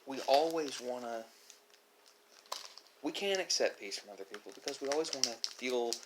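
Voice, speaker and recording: A man giving a reflective, philosophical monologue as an online host, speaking haltingly with drawn-out pauses. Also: mid-range voice, animated, heavy background noise. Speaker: host of an ASMR mukbang channel.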